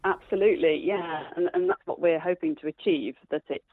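A woman's voice: lowish voice, fairly quick, dry recording. A woman chatting in a casual radio interview as an amateur stage performer, explaining things in an agreeable way. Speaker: amateur theatre actor being interviewed. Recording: thin phone-like radio line.

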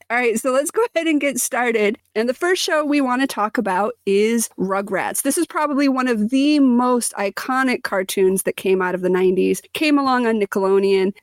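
A woman's voice: a little high, dry recording. A woman in her forties co-hosting a podcast, speaking with lots of inflection in a casual, chatty way. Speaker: podcast co-host. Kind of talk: casual chat.

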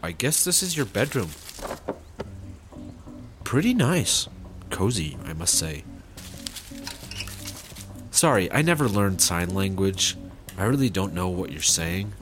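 An actor playing a casual, easily baffled and suspicious squad-car hero in a sci-fi comedy audio drama. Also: male voice, deep voice, big pitch swings, takes it slowly, long pauses, exaggerated voice. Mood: awkward. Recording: music behind the voice.

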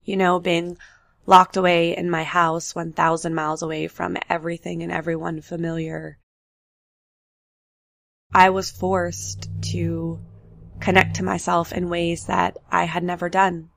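A young woman with a low, heavily gravelly voice speaks in an even, steady register, nasal from getting over the flu. She tells her story in a candid, unhurried way for her solo podcast. The sound is close and dry, with no room echo. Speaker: podcast host. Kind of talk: solo reflective podcast talk. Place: apartment with road noise outside.

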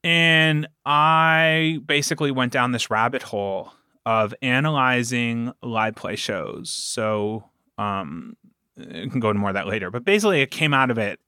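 Male podcast guest, forceful bright tone, medium pitch, animated casual storyteller, close dry sound. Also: normal speed, smooth, dry recording. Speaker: podcast producer and game master, interview guest.

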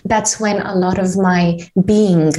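A woman from Tanzania telling her personal story as a guest in a conversation, earnest and animated, with many restarts and "you know". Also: medium pitch, slow pace.

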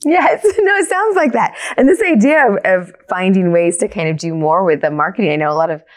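A woman hosts a relaxed interview in a slightly low voice with very lively intonation. She is affirming and responsive, speaking conversationally and encouraging her guest. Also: brisk. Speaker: podcast interviewer and host.

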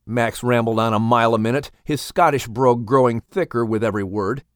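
A man's voice: mid-range voice, brisk, clean tone, dry recording, clean studio sound. A man hosting and narrating a scripted animal story as a storyteller. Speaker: host and narrator.

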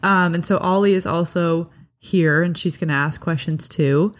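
A woman's voice: fairly low pitch, normal speed. Chatty young woman podcast host. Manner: chatty, bubbly, many "like"s and "um"s. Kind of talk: casual family chat.

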